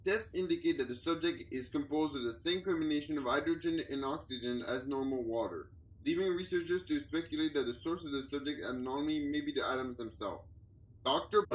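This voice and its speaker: A man with a voice a little higher than average narrates a scripted report. His delivery is formal and clinical, like an official log being read out. The sound is very roomy and somewhat distant from the microphone. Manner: formal, clinical document reading. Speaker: narrator of a fiction audio log. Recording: roomy, echoey, distant from the mic.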